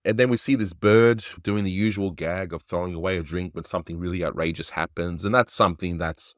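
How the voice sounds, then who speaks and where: A man hosting a casual cartoon review, talking in a relaxed, offhand way and chuckling often. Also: low-pitched, brisk, no echo, clean studio sound. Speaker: animation reviewer, host.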